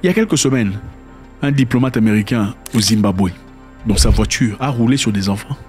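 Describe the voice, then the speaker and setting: A man giving casual spoken commentary, emphatic and sarcastic, who repeats words and swings between loud and quiet. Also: lowish voice, a little fast, faint music behind. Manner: sarcastic asides.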